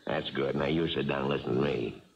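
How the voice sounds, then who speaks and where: An actor playing a crafty, middle-aged American baseball manager in a radio drama, folksy and coaxing. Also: male voice, very deep voice, steady tone, a little fast, vocal fry. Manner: folksy, persuasive. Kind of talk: 1970s radio drama acting. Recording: dull, muffled old radio recording.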